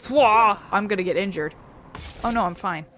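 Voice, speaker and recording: A woman's voice: medium pitch, moderate pace, fairly bright tone, a little hiss or hum. A woman giving casual, playful gameplay commentary, thinking aloud as she goes. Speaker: video game streamer.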